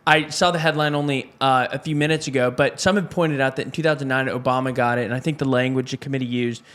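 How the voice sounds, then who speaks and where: A man's voice: medium pitch, steady tone, fast talker, fairly bright tone. A man hosting a casual news discussion show, asking his co-host questions and adding wry asides.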